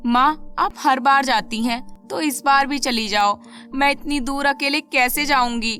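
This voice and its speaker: Young daughter in a cartoon fable, played by a woman: earnest, kind, put-upon, bright high voice. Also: measured pace, soft background music. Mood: reluctant. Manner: earnest, pleading. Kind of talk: animated children's moral story.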